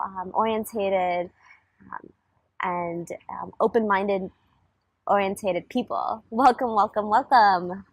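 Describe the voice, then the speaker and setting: A woman's voice: mid-range voice, breathy edge, no room sound. Chatty young woman coach, easygoing. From grew up between Germany, Taiwan, Shanghai, Hong Kong and California. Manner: chatty, many fillers and restarts. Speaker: coach and summit speaker. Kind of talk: casual motivational talk.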